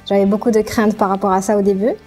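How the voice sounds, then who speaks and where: A young woman giving a student testimonial, halting and hesitating often. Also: mid-range voice, level tone, darkish tone, slightly rough. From Morocco. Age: young adult. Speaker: international student. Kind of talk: interview testimonial. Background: music.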